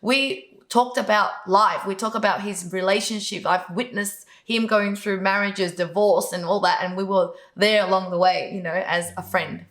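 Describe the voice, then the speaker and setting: A woman's voice: medium pitch, steady tone, normal speed, few pauses, fairly bright tone, roomy sound. A middle-aged woman from Vietnam, the show's host, answering interview questions in a chatty way and laughing now and then. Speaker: host, business coach.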